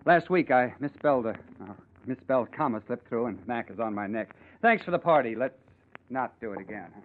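A narrow, tinny vintage radio recording holds an actor playing a sly, needling man. He is flippant and insinuating, with widely swinging pitch, in old-fashioned radio drama style. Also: expressive, fairly quick, close to an everyday voice. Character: needling, insinuating, flippant male party guest.

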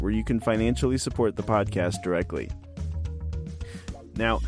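A man's voice: mid-range voice, a little mellow. A man hosting a podcast, reading a scripted, upbeat radio-show introduction. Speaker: podcast host.

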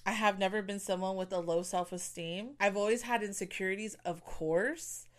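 Candid chatty young woman, smooth voice. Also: medium pitch, fairly even intonation, normal speed. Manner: candid, chatty, heavy use of "like" and "you know". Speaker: podcast host. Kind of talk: casual personal monologue.

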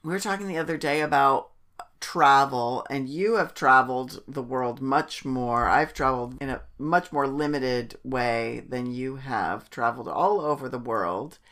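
A woman's voice: extremely low pitch, a little slow, a bit bright. A woman co-hosting a podcast in casual, conversational talk with her fellow host.

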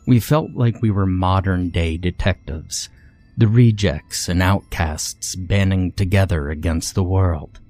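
Male narrator for scripted horror fiction: deep, soft-edged tone, deliberate dramatic pacing of phrases, light music underneath. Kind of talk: scripted first-person creepypasta narration.

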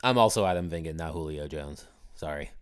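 A man co-hosting a sports podcast, dry and deadpan, his voice a bit raspy from a recent sore throat. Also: a bass voice, a little fast. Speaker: podcast co-host, hockey reporter. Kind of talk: casual sports podcast chat.

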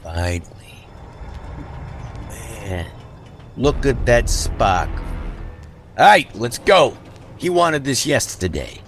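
An actor playing a weary, menacing mob boss with a sentimental streak in a radio drama, deliberate in delivery. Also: male voice, mid-range voice, big pitch swings, fairly bright tone, faint music behind. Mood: impatient.

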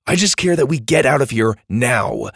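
A man's voice: mid-range voice, huge pitch swings, moderate pace, creaky, a bit bright, no echo, clean studio sound, heavily acted. A man voicing a cautious, practical boy in a children's story, firm and urgent.

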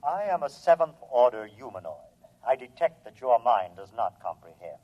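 An actor playing a calm, superior humanoid robot in an old radio drama, with formal, precise, matter-of-fact speech. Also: male voice, medium pitch, moderate pace. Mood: imperious, calm. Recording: dull, lo-fi old radio recording.

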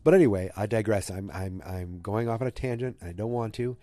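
Male podcast host, fighting a cold, dark and low, casual and digressive. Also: a little fast, no room sound. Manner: rambling, digressive, self-correcting. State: has a cold. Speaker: podcast host recapping a TV episode. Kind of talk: casual solo recap.

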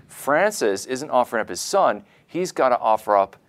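A man giving conversational religious commentary, explaining things with enthusiasm in a halting way, repeating words as he goes. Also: mid-range voice, big pitch swings. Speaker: podcast co-host, religious scholar.

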